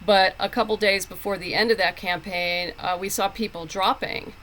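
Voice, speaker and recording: A woman's voice: medium pitch, fairly even intonation, moderate pace, fairly bright tone, a little hiss or hum, low-quality recording. A woman speaking as a guest writer in a casual podcast interview, often restarting and repeating words as she goes. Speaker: guest comic book writer.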